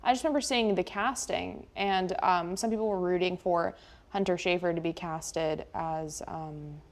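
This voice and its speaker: A woman's voice: fairly low pitch, fairly even intonation, moderate pace. A young American woman chatting casually and informally as a host, often dropping "like" into her sentences. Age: young adult. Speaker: local TV station chat host. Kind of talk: casual unscripted chat.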